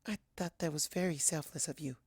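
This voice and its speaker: A narrator voicing a gentle, timid young female pony in a storybook, soft-spoken and hesitant. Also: medium pitch, fairly quick, husky, no echo, clean studio sound. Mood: shy, grateful.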